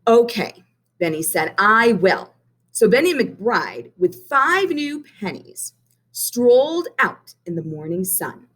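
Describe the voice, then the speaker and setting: Slow, expressive woman teacher. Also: mid-range voice, low-quality recording. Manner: slow and expressive, pausing to count coins. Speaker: primary math teacher. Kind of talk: children's read-aloud lesson.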